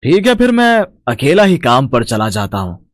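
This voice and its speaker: A man's voice: medium pitch, wildly swooping pitch, normal speed, dry recording, clean studio sound. A man playing a polite, mild working man in a children's story. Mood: gentle.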